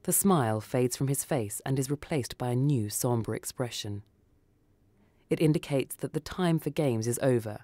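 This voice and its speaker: A woman reading a short story for radio in a theatrical, deliberate, dramatic narrating style. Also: very deep voice, lively intonation, dark tone, no echo.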